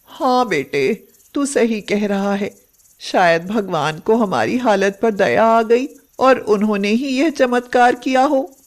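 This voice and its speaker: A woman voicing a poor, caring village mother who speaks earnestly and expressively in an animated moral story. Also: fairly high pitch, slow pace. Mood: grateful.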